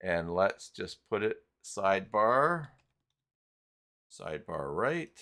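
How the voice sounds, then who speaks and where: A man hosting an unscripted live software tutorial, talking haltingly as he thinks aloud while working. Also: sits low, sing-song. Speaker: host of live tutorial stream.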